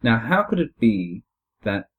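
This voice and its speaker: Husky-voiced man with a foreign accent. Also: medium pitch, sing-song. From born abroad, moved to Australia at fifteen. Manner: measured, reflective, with frequent "uh" and soft "mm-hmm" backchannels. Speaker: podcast host. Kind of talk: casual podcast discussion.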